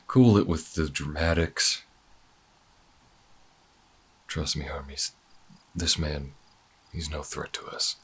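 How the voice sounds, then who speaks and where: A man playing a calm, skeptical young bounty hunter in a sci-fi audio drama, with a cool, measured and dry delivery. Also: rumbling low, slight background noise. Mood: cool, guarded. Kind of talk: audio roleplay drama acting.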